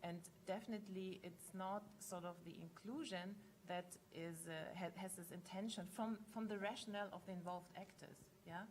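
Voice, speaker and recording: A woman's voice: medium pitch, fairly even intonation, moderate pace, hardly any pauses, a little hiss or hum. A woman speaking as a panelist in an academic discussion, reasoning things through analytically and checking in with short tag questions.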